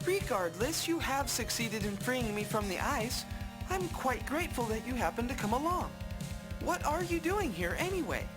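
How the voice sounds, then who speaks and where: A woman's voice: a little high, very animated, normal speed, music in the background. A woman voicing a small, earnest young game hero who speaks formally and politely. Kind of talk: 1990s game voice acting.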